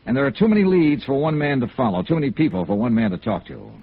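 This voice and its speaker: An American actor playing a tough, blunt insurance investigator in a radio noir drama, clipped and direct. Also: male voice, medium pitch, big pitch swings, fast, husky. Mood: brisk, commanding. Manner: clipped, hard-boiled, direct. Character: tough, blunt male freelance insurance investigator, narrating his case. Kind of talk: 1950s radio noir acting, narration and dialogue. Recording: muffled old radio broadcast.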